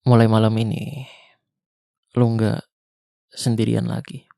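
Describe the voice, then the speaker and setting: A young man with a Jakarta slang accent playing a weary ex-soldier survivor of a zombie apocalypse, speaking softly and intimately in an ASMR roleplay. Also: fairly low pitch, completely flat delivery, vocal fry, no room sound, clean studio sound. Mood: tender. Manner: soft, slow, intimate, half-whispered. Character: weary male ex-soldier survivor, tender protector. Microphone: close mic.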